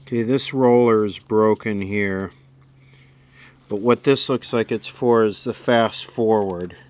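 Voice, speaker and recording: A man thinking aloud in a dry, grumbling, low-key way as he does hands-on repair commentary. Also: slow, darkish tone. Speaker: host, electronics repairer. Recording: clear background noise and hiss.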